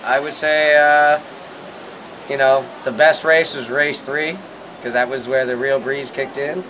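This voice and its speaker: A man in his forties giving a brisk, joking interview outdoors by a house porch about the day's races. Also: medium pitch, steady tone. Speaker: sailor. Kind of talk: casual interview. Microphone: camera mic at a distance. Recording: noticeable outdoor noise.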